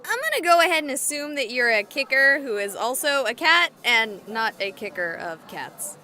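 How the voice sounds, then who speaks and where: High, bright, bubbly young streamer girl. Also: expressive, normal speed. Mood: playful. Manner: playful, chatty, exaggerated enthusiasm. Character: excitable, goofy young female livestreamer exploring haunted places. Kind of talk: visual novel voice acting as a livestream.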